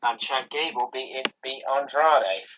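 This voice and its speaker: A man's voice: medium pitch, unhurried. A man co-hosting a wrestling talk show, chatting with frequent restarts. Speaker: podcast co-host. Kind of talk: casual wrestling chat. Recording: dull lo-fi recording with faint hiss.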